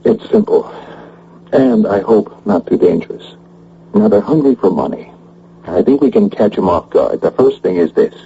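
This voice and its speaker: An actor playing a gruff, protective male investigator in a radio drama. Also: fairly low pitch, wildly swooping pitch, normal speed, dark tone, full character voice. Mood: businesslike. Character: gruff, protective investigator, a grown man directing a sting. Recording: dull lo-fi old radio recording.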